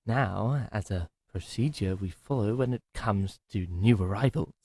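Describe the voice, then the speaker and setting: A man acting in an audio roleplay as a playful, sinister manor servant, talking slowly and theatrically. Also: lowish voice, a little mellow, husky, no echo. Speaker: servant called Happiness greeting a captive.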